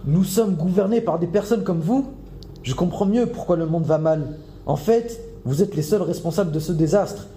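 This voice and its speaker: A man voicing a desperate male intruder who shouts and accuses, speaking slowly and heavily. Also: on the high side, echoey room, slight background noise, close to an everyday voice. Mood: accusing. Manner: shouting, accusing, slow and heavy.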